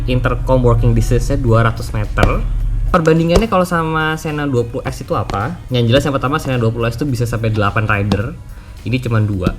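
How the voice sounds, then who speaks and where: Casual Indonesian male vlogger, music behind. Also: fairly low pitch. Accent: Indonesian, Jakarta colloquial. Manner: informal, mixes English words into slang-filled speech. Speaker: motovlogger presenting a product review. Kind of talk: casual product review to camera.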